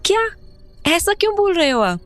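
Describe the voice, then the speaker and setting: A woman playing an uneasy young mother in a horror audio drama, expressive and questioning. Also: very high-pitched, moderate pace, faint music behind. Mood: surprised, questioning. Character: uneasy young mother back in childhood home.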